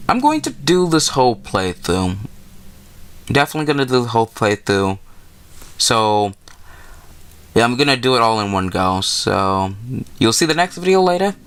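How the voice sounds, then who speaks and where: A man's voice: medium pitch, big pitch swings, moderate pace, pauses often, a bit bright, clear, resonant tone. A man hosting a gaming video, reading a visual novel aloud and adding casual, informal asides.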